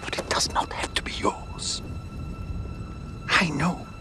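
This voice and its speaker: A man's voice: extremely high pitch, huge pitch swings, slow pace, exaggerated voice. An actor playing a stern, proud older samurai lord and uncle in a game drama, formal and imperious in his delivery. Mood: pleading, anguished. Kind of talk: dramatic cinematic game acting. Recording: music clearly behind the voice.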